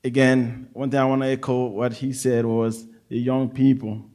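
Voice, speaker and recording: A man's voice: medium pitch, flat delivery, normal speed, mellow. A man in his thirties from Haiti with a Haitian accent, a Navy chief speaking casually from the audience at a forum and often tagging his sentences with "right?". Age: 30s. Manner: conversational, tags sentences with "right?". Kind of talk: casual public remarks at a forum.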